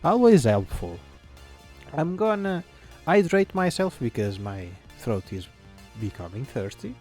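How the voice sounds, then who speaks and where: A man's voice: a little high, very animated, a little slow, darkish tone, soft background music. A man who is not a native English speaker, talking casually while coding a game on a live stream and muttering his thoughts aloud with many fillers. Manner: thinks aloud, mutters to himself, frequent fillers.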